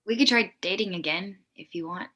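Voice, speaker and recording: A woman's voice: on the low side, fairly quick, sharp tone. A woman playing a tentative, pitying teenage ex-girlfriend in a comedy table read. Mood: pained sympathy. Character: hesitant, pitying teenage girl, reluctant ex-girlfriend.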